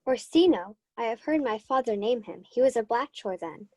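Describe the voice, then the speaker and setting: A woman acting a husky, mellow shipwrecked young noblewoman. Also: a little high, expressive, normal speed. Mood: curious. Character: shipwrecked young noblewoman, grieving yet resolute. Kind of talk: student Shakespeare radio acting.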